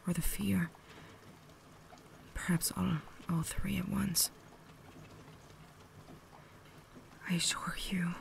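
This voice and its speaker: Girl doing a refined vampire lady asmr, whispery n creaky, slow, caring, kinda holding back. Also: deep voice, a little hiss or hum. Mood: apologetic, gentle. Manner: refined, formal, soft-spoken whisper.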